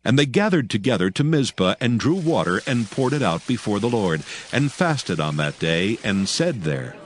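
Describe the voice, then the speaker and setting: A man narrating scripture from a script in a solemn, measured, formal manner. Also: fairly low pitch, very animated, a little hiss or hum. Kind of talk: scripted dramatized Bible narration. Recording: faint music behind the voice. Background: faint background music.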